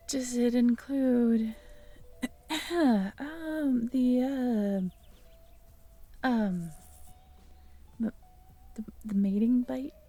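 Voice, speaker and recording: A young woman in an intimate audio roleplay, talking softly to the listener, hesitant and stammering. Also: fairly high pitch. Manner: hesitant, stammering, soft-spoken. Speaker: audio drama performer speaking to the listener.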